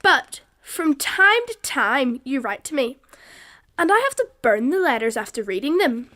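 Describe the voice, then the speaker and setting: High-pitched girl of twelve woman acting, earnest, radio-play letter reading. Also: very animated, measured pace, pushed and bright, full character voice. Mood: earnest, wistful. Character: earnest twelve-year-old daughter writing to her father.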